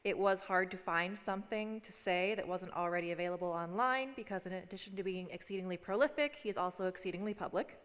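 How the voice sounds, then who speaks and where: A woman's voice: fairly low pitch, fast talker, few pauses, a bit bright. A woman, a postdoctoral researcher, reading a formal introduction of a speaker at a conference. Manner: reads a prepared bio steadily. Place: conference hall. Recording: long hall reverb.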